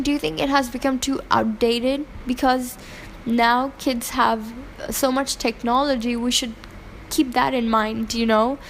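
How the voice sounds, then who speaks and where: Opinionated woman from Pakistan, slightly high voice. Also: a little hiss or hum, rough recording. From Pakistan, raised in Hong Kong. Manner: earnest, opinionated, many fillers and restarts. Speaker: interviewee. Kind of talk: casual interview answers.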